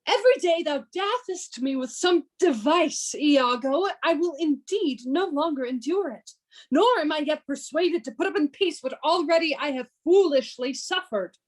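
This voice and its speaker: A woman playing an aggrieved, gullible gentleman suitor in a Shakespearean radio play, indignant and petulant. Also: high voice, lively intonation, normal speed.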